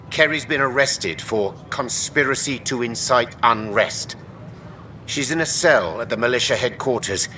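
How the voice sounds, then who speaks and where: A man playing a slick, persuasive documentary producer in video game dialogue, with a brisk manner. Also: mid-range voice, pushed and bright, distant mic, slight background noise, natural voice.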